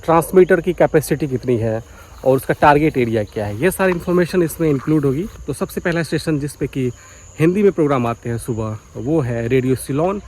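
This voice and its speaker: A man's voice: fairly high pitch, brisk, darkish tone, slight background noise. A man giving a casual, explanatory vlog, speaking directly to viewers outdoors in a village. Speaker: vlogger. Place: outdoors in a village by paddy fields.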